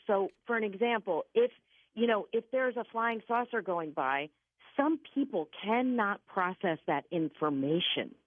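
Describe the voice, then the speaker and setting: A woman's voice: medium pitch, dry recording. A woman giving conversational psychic readings as a guest on a radio call-in show, speaking emphatically and reassuringly and repeating key points. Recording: narrow phone-like line.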